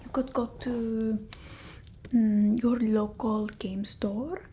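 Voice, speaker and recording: Casting: haughty female vampire played by a woman for whispered ASMR roleplay, slow and fussy, mellow tone. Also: fairly high pitch, steady tone, a little hiss or hum. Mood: haughty, instructing. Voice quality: breathy whisper, soft voiced in places. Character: haughty fussy female vampire mistress instructing her familiar. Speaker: ASMR creator.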